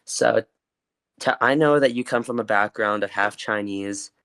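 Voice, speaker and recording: Young man, podcast host, low clear voice, casual interview, hesitant phrasing. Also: normal speed, fairly bright tone. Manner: hesitant, searching for words.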